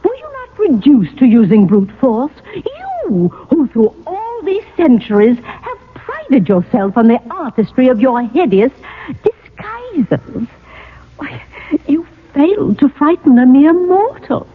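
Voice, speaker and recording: A high, genteel, coaxing ghostly wife. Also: female voice, takes it slowly, full character voice. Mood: gently needling. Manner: genteel, flattering, theatrical. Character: coaxing, gently needling wife of an old ghost. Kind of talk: old-time radio acting. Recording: thin, phone-like old radio recording.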